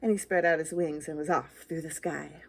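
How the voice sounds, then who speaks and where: A woman's voice: deep voice, fairly even intonation, moderate pace, slightly rough. A woman reading a children's story aloud expressively, with dramatic, rhythmic emphasis on the rhymes. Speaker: library storytime reader.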